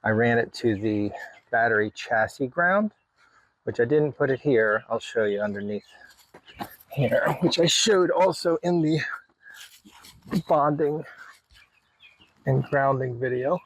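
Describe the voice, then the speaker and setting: Guy doing a casual diy walkthrough, talks slow and kinda halting, dry close sound. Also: medium pitch, animated, no room sound, low-quality recording. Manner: halting, pausing mid-sentence. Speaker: hobbyist video host. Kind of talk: casual hands-on walkthrough to camera. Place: in and around a parked vehicle.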